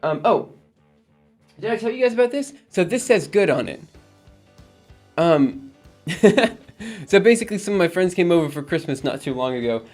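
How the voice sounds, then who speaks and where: A man's voice: high-pitched, very animated, normal speed, long pauses, a little mellow, faint music behind. Rambling man chatting on a live stream. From Alabama. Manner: rambling, reads chat aloud and answers, frequent ums and laughs. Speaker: livestream host. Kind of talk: casual live-stream chat with viewers.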